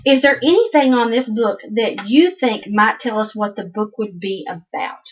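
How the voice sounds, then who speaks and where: A woman's voice: a little high, moderate pace, husky, highs cut off. A woman with a Southern American accent teaching children through an interactive read-aloud, asking questions and repeating answers back.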